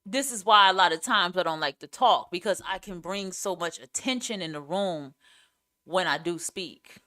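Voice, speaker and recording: A woman podcaster with a raspy voice that carries and a clear New York accent. She talks off the cuff in a casual monologue, blunt and opinionated, leaning hard on her points and swearing freely. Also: lowish voice, normal speed, sharp tone. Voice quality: raspy, carrying voice. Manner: blunt, emphatic, profane. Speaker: podcast host.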